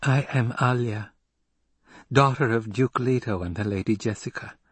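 A man voicing a defiant, coolly malicious little girl in an audiobook, calm and precise in manner. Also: mid-range voice, moderate pace, husky, no echo, pitched above the performer's own voice. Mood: cool defiance. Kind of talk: dramatic audiobook character voice.

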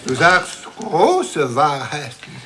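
An actor playing a pompous, touchy old academy president in an absurdist radio comedy, theatrical and forever interrupting himself. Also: male voice, on the high side, huge pitch swings, drawn-out words, background noise, heavily acted. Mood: solemn. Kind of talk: absurdist comic radio play acting.

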